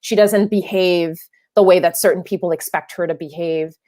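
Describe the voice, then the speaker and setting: Thoughtful low-voiced woman filmmaker. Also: fairly even intonation, moderate pace, dark tone. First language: French. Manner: thoughtful, many restarts and fillers. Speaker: filmmaker guest. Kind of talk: interview answers.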